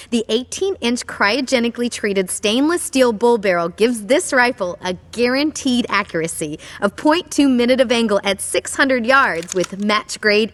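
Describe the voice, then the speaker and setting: An actress playing a polished, cheerful saleswoman in a video game, giving a precise, presentational sales pitch. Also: a bit bright, big-room sound.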